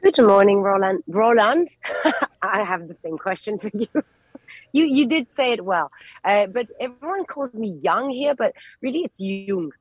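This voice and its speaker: A woman's voice: mid-range voice, expressive, a little slow, slightly rough, dry recording, rough recording. A woman in her forties with a mixed French and English accent, chatting as a guest and searching for words. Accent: French with English mix. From France. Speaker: actress guest. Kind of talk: radio interview chat.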